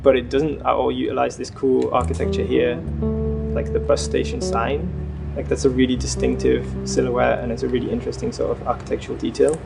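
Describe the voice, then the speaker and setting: A man's voice: medium pitch, level tone, fairly quick, creaky, over loud music. A man who works as a video game artist, explaining design choices in a casual, conversational interview manner. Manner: informal, conversational.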